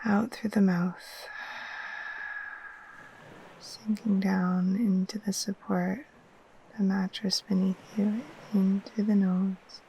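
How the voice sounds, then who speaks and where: Woman doing sleep yoga nidra, super slow n mellow, soft breathy almost whisper, faint waves behind. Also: medium pitch, a little hiss or hum, poor audio. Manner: soothing, gentle, unhurried with long pauses. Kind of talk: guided sleep meditation. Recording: faint steady wash of ocean waves.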